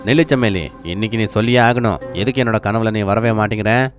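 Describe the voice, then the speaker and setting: A man voicing a lovestruck, rivalrous wolf in an animated animal fable. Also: lowish voice, brisk, natural voice. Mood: demanding. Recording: music clearly behind the voice.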